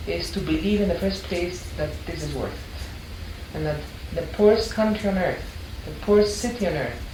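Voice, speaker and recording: Older lady, low voice, earnest storytelling, sounds far off in a roomy space w/ background hum. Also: moderate pace, a little mellow. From Ecuador. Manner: reflective, earnest, with frequent restarts. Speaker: art history teacher. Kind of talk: personal storytelling interview. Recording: echoey room, mic set back, background noise.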